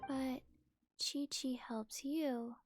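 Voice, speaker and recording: A woman voicing a small, forgetful zombie girl in a video game, speaking in flat, terse, halting sentences. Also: high voice, dry recording, studio quality, soft background music, full character voice. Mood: deadpan, sleepy.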